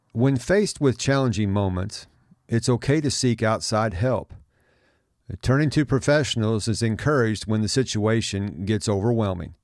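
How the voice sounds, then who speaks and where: A middle-aged man narrating a scripted podcast as host, in a measured, encouraging manner. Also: lowish voice, dry recording, clean studio sound. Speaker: podcast host, adoption life coach.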